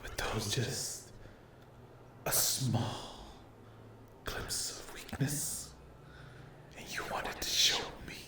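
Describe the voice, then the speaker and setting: Man acting as male nightmare demon, breathy whisper, cruel taunting, possessive, ASMR roleplay, roomy echo. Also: mid-range voice. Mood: taunting, contemptuous. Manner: slow, taunting, condescending, drawn-out phrases. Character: menacing, mocking male sleep paralysis demon, possessive and cruel.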